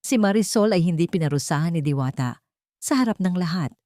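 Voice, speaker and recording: A woman reading a dramatic scripted story as narrator, with measured, suspenseful delivery. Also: lowish voice, lively intonation, warm tone, dry recording, clean studio sound.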